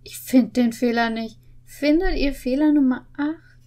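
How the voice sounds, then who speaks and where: Young woman, very high voice, slow pace, playful video host, thinking aloud, close mic. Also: warm tone. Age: young adult. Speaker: video host opening an advent calendar. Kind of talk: playful casual chat while solving puzzles. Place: indoor home studio with green screen. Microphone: desk microphone with pop filter, close.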